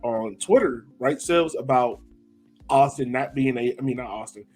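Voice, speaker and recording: A male podcast host reading out quick facts in a casual, easygoing way. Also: medium pitch, a little mellow, soft background music. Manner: easygoing, reads out facts with light laughs.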